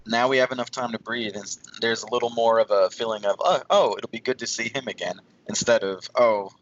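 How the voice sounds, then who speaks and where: A man's voice: medium pitch, a little fast, a bit bright, lo-fi sound. A man co-hosting a casual movie podcast, debating and pushing back on his friends. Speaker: podcast co-host.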